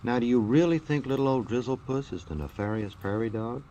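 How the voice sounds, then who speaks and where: An actor playing a wry, folksy American storyteller in an old radio detective drama, using playful slang. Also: male voice, mid-range voice, expressive, fairly quick, mellow, lo-fi sound, close to an everyday voice. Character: wisecracking folksy male storyteller of detective tales. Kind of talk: 1940s radio acting. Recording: old radio recording with background hiss.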